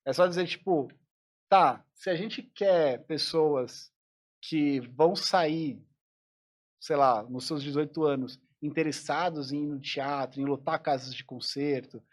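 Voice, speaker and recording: A man's voice: fairly high pitch, measured pace. A man, a musician guest on a podcast, speaking reflectively and often restarting his sentences. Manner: reflective, thinks aloud with many restarts. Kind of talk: casual interview conversation.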